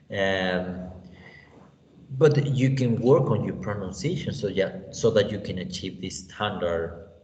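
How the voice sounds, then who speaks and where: A man's voice: on the low side, darkish tone. A man with a Mexican accent teaching an online class, chatty and loose, full of fillers and "you know". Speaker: English teacher. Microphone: laptop on a video call. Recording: echoey, dull, lo-fi, faint noise.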